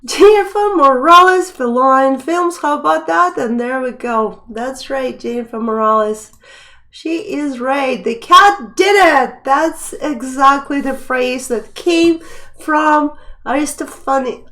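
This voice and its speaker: High-voiced woman hosting a cat trivia stream. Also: slow, bright, forceful tone. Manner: chatty, reads questions and viewer names aloud. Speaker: live stream trivia host. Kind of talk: casual live stream quiz hosting.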